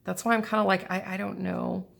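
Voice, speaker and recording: A woman's voice: mid-range voice, little pitch movement, fairly quick, gravelly, fairly bright tone. A woman with a stuffy, sinusy voice chatting casually while setting up a planner, thinking aloud as she goes. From American Midwest. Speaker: planner and journal crafter. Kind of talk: casual crafting chat. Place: indoors at a craft table. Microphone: camera over the table.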